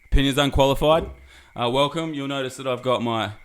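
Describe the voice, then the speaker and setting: A man's voice: medium pitch, fairly quick. A man hosts a casual podcast, joking and rambling with lots of fillers. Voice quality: husky. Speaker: podcast host. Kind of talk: casual chat.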